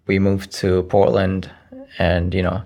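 A young man around twenty, raised in Iraq with Arabic as his first language, answering interview questions in a soft, hesitant way. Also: deep voice, monotone, takes it slowly. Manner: soft-spoken, hesitant, frequent "you know" fillers. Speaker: interview guest, young poet. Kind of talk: casual conversational interview.

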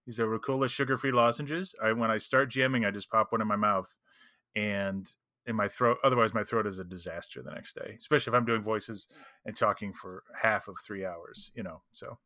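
A man's voice: on the low side, fairly even intonation, brisk, bright, forceful tone, dry recording, studio quality. A man co-hosting a casual chat, giving practical advice as a game master. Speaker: podcast co-host and game master.

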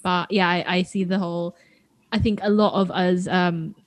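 A young woman with a fairly low voice co-hosts a relaxed podcast chat. She rambles a little, filling gaps with ums and circling back to restart her sentences. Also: normal speed, no echo. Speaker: podcast co-host. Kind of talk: casual chat.

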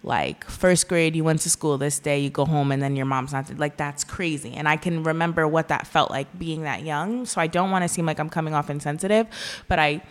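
A young woman co-hosting a podcast, speaking earnestly in a halting, casual way with many fillers. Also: low voice, steady tone. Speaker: podcast co-host.

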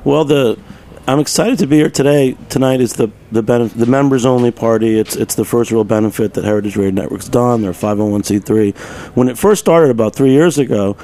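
A man's voice: mid-range voice, brisk, few pauses, mellow. A man chatting casually as an interview guest on a radio show.

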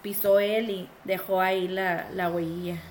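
Woman podcast co-host, hoarse from illness, bilingual casual storytelling, faint noise. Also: on the low side, level tone, normal speed, a little mellow. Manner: switches between English and Spanish mid-sentence. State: sick, coarse voice. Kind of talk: casual true-crime chat.

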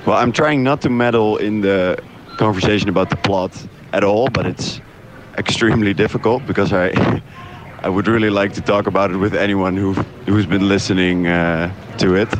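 Man from the Netherlands, low voice, faint noise and clicks, casual audio diary, hesitant, pleased. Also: steady tone, normal speed. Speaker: audio drama creator.

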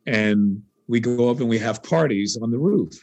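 A man from New York, a guitarist and composer, talking casually in an interview and telling rambling, animated stories with many restarts. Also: medium pitch, normal speed, dry recording, dull audio.

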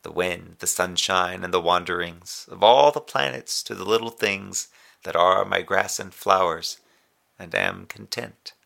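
An actor playing a middle-aged, conscientious moonbase communications officer in a sci-fi audio drama, with a gravelly, wry, weary delivery. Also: male voice, deep voice, a little slow, sharp tone, full character voice. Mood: tender, wistful. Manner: formal reporting with dry sarcasm and tenderness. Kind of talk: audio drama monologue as a radio report.

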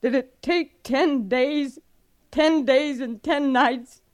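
An actress playing a grieving, bitter and stubborn young frontier widow in a radio western, with a drained, dramatic delivery. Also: female voice, sits high, takes it slowly, no room sound. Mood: anguished. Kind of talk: 1950s radio western acting.

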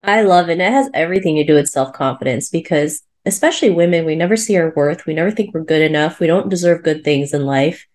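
A woman's voice: sits low, fast talker, few pauses, a little mellow. A woman born in the Philippines hosting an interview podcast, talking in an enthusiastic, effusive way. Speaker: podcast host.

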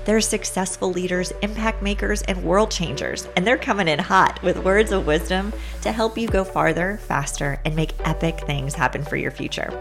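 A middle-aged woman hosting a podcast with an upbeat, emphatic delivery, rattling off lists in quick bursts. Her voice sits on the lower side, and music plays clearly behind her. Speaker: podcast host. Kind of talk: scripted intro then interview.

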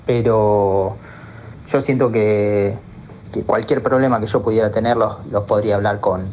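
A man's voice: fairly low pitch, fairly even intonation, a little slow, a little mellow, dull audio, a little hiss or hum. A man giving an interview, speaking hesitantly about his own experience with frequent restarts and fillers. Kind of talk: personal testimony.

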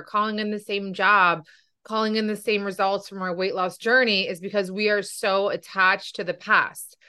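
A woman's voice: mid-range voice, bright, forceful tone, clear, resonant tone. A woman hosting a podcast as a weight loss coach, talking with enthusiasm and often tagging her points with "right?". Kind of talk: podcast interview.

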